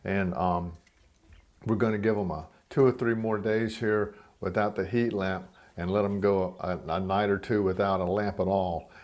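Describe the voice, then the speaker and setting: A man's voice: low-pitched, fairly even intonation, moderate pace, a little mellow. A man narrating a homemade hobby video in a plain, folksy way. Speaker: hobby quail keeper presenting a video.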